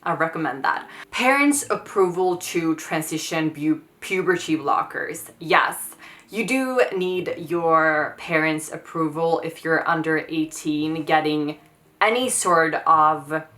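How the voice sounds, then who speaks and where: A woman's voice: sits low, animated, takes it slowly, a bit bright. A woman in her thirties with a Swedish accent, answering viewer questions in a casual vlog, hesitant and trailing off with many fillers.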